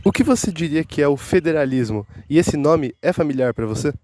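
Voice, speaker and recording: A man's voice: fairly high pitch, lively intonation, brisk, darkish tone, dry recording. A man interviewing people on the street, asking short questions.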